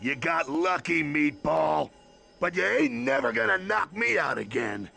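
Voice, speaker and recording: An actor voicing a brutish, hot-tempered hired supervillain in a video game, with a gravelly, raspy voice and slangy, blustering speech. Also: male voice, fairly high pitch, big pitch swings, measured pace, bright, forceful tone, faint music behind, full character voice. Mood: taunting. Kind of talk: animated video game villain acting.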